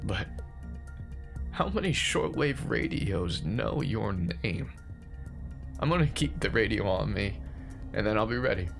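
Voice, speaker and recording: A man's voice: lowish voice, expressive, unhurried, creaky, pauses often, over music. A man playing an anxious, grieving older brother recording confessional horror voice notes, jittery, stammering and questioning himself. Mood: hopeful, desperate. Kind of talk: found-footage horror audio monologue, confessional voice notes.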